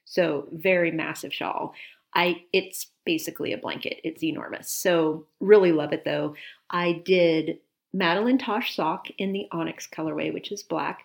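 A woman with a lowish, gravelly voice talks through her finished knits with easy enthusiasm. She is a podcast co-host in casual chat, a bit sniffly, lingering over details. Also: steady tone, moderate pace. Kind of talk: casual chat about knitting.

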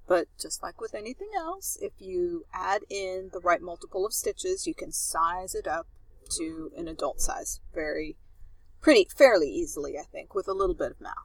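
A woman's voice: fairly low pitch, no echo, poor audio. A woman co-hosting a knitting podcast, talking in a chatty, enthusiastic way while recording outdoors at the beach. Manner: chatty, enthusiastic, trails off into fillers. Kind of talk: casual chat.

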